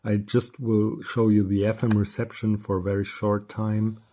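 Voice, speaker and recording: A man giving a casual product review in a hesitant, halting, unscripted way. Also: on the low side, little pitch movement, dark tone. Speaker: radio reviewer.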